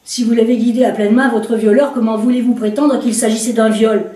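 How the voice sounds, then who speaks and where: A woman's voice: on the high side, steady tone, fairly quick, warm tone, close to an everyday voice. A woman voicing a skeptical male police commissioner who answers in a dry, matter-of-fact way. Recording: roomy echo, distant mic.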